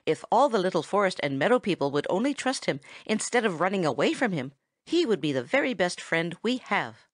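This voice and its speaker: A woman's voice: on the low side, sing-song, fairly quick, no echo, studio quality. A woman voicing a cheery, boastful little male chickadee bird in a storybook reading, bubbly and merry. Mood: cheerful, boastful.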